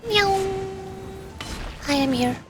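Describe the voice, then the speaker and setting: A woman's voice: sits high, expressive, extremely slow, background noise. A woman streamer giving casual, playful gameplay commentary in a cutesy, joking manner. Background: game music.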